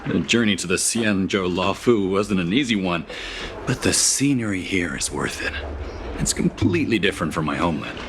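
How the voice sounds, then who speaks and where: A man voicing a proud, earnest envoy from a distant planet in a video game, with an eager, expressive delivery. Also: low voice, moderate pace, noisy background. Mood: content. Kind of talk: video game dialogue acting.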